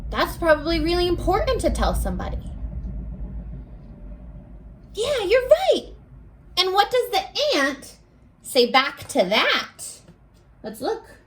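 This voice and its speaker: A woman's voice: very high voice, wildly swooping pitch, slow pace, long pauses, a little hiss or hum. A woman teacher leading a read-aloud lesson for young children, guiding them with simple questions and encouragement. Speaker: elementary school teacher.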